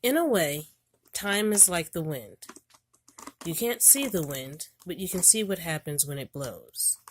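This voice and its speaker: A woman with a low voice reads aloud from an educational picture book for children. Her phrasing is deliberate, in the way of someone telling a storybook to young learners. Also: lively intonation, slight background noise. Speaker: homeschool parent reading a book aloud.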